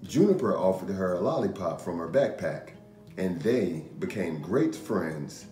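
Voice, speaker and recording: A man in his thirties reading a children's picture book aloud in an expressive, measured storytelling manner. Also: low-pitched. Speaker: storytime reader, host. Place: indoor room. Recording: roomy echo. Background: music.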